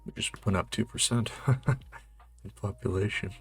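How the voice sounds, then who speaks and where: Male player, low gravelly voice, casual think-aloud commentary, musing to himself, faint music underneath. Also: unhurried, a bit bright. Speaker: challenge player and channel regular.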